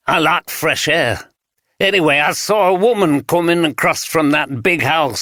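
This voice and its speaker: Guy doing a gruff suspicious yorkshire vagrant, higher and pushier than his own voice, bright tone. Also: dry recording, studio quality. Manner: gruff, guarded, blunt dialect speech. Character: wary scruffy homeless Yorkshireman, suspicious and gruff.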